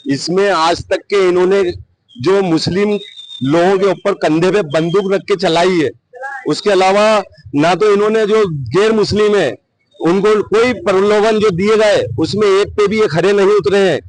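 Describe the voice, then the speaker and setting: A man's voice: high voice, moderate pace, a little hiss or hum, poor audio. A man giving argumentative, insistent opinions in an interview. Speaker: member of the public interviewed. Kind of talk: opinionated street interview answers.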